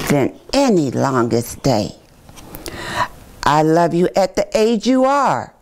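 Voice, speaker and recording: An older woman reads a children's picture book aloud in a deliberate, expressive storytime manner. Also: on the low side. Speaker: city commissioner reading to children. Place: small indoor room with bookshelves.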